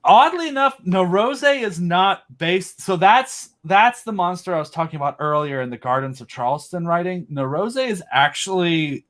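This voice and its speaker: A man chatting as a guest on a video call, excitedly rambling as a fan about his monster designs. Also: sits high, moderate pace, hardly any pauses. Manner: enthusiastic, rambling fan talk. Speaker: podcast host and audio drama creator. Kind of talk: casual video chat interview.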